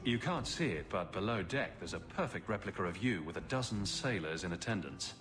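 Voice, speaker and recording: Confident hero, calm, dry, low voice, orchestral music behind. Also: male voice, fairly quick. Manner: calm, dryly teasing. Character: confident male hero and leader. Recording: game cutscene with music behind.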